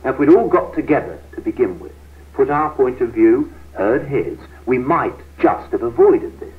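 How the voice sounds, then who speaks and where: An actor playing a frustrated, ambitious young British office worker, earnest and argumentative, in a 1970s training film. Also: male voice, mid-range voice, expressive, normal speed, natural voice. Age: young adult. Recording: tinny, noisy 1970s film soundtrack.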